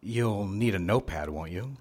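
An actor playing a smooth, patronizing boss who flirts with his secretary, authoritative and teasing, in a live radio drama. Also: lowish voice, expressive, moderate pace, a little mellow. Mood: stern. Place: theater stage, live show.

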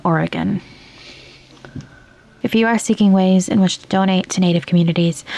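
Low, even-toned woman podcast host. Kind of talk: scripted narration, podcast outro and credits.

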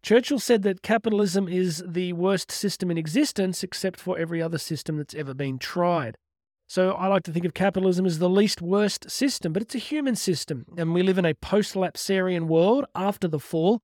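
A man's voice: high voice, a little fast, a little mellow, no room sound, studio quality. A man speaking as a motivational speaker on faith, earnest and emphatic, stretching key words and posing rhetorical questions. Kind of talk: motivational talk with religious teaching.

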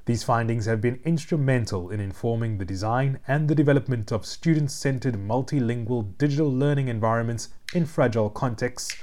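A man hosting an interview, first reading a guest introduction and then asking questions in a measured way. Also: mid-range voice, a little mellow. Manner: measured, reads a prepared introduction. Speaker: interviewer, host.

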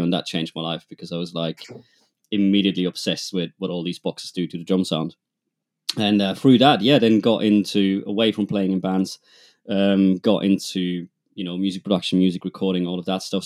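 A German-accented man chats as a podcast guest, relaxed and rambling. His voice is low and deep, and he often makes light of himself. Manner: relaxed, rambling, self-deprecating. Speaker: guest, music producer and manager. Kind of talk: casual interview chat.

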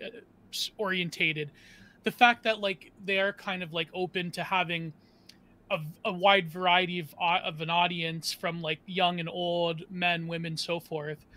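A man with a high, bright, forceful voice chats as a panel guest. He rambles from thought to thought, packing his sentences with "like". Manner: rambling, constant "like". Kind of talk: casual panel discussion.

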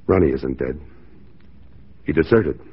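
An actor playing a stoic, dutiful mounted police sergeant in a 1940s radio drama, terse and firm. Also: male voice, extremely low pitch, a little slow, slightly rough, full character voice. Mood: grave, pained. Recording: dull 1940s radio recording.

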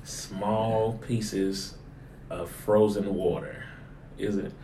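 A man with a low voice speaks as a pastor in relaxed conversation. He repeats his phrases to drive points home. The recording has a roomy echo and some background noise. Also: extremely slow. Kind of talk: casual conversational discussion of a sermon.

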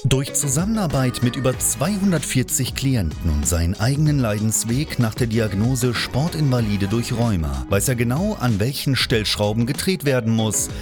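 Lively male podcast announcer over music. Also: mid-range voice, moderate pace, few pauses. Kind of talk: scripted podcast intro. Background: music bed.

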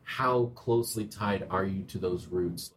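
A man's voice: low-pitched, unhurried, slight background noise. A man hosting a podcast, introducing the episode and asking his guest curious, prompting questions. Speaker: podcast host. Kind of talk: casual interview and scripted intro.

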